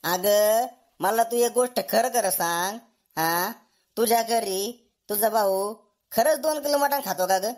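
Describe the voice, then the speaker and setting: A woman's voice: mid-range voice, measured pace, darkish tone, natural voice. Sarcastic, grumbling cartoon husband acted by a woman. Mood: exasperated. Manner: mocking, complaining. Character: sarcastic grumbling husband fed up with his brother-in-law. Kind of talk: comedy cartoon dialogue.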